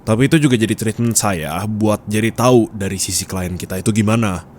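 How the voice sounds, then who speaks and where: A man's voice: on the low side, a little fast, natural voice. A man playing a bossy, nagging office boss in an ASMR roleplay, scolding and teasing bluntly in colloquial speech with a Jakarta Indonesian accent. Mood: explaining. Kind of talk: intimate ASMR roleplay acting. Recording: steady background ambience noise.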